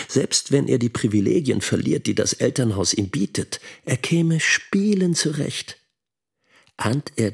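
Actor as gravelly, husky brooding middle-aged schoolteacher. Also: male voice, medium pitch, full character voice. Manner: reflective, self-questioning. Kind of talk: radio drama interior monologue.

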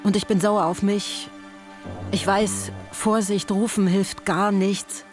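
A woman's voice: mid-range voice, flat delivery, close to an everyday voice. Actress as a blunt, caring woman over music. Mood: reproachful, worried. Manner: blunt, deliberate, sardonic. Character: exasperated, caring woman friend, blunt and resigned. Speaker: radio drama actress. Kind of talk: letter read as dramatic monologue in radio play.

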